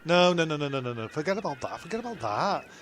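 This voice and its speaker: Man voicing a cranky castaway, a bit high, faint hiss. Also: big pitch swings, fast, a bit bright. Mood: irritable. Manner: snappy, swearing. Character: irritable castaway bloke.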